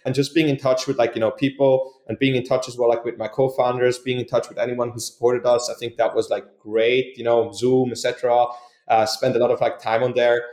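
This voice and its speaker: A man's voice: mid-range voice, level tone, fairly quick, darkish tone. Swiss guy rambling thru interview answers, lots of "like, you know", roomy echo. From Switzerland. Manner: rambling, many fillers and restarts. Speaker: guest, company co-founder. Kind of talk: casual podcast interview answers.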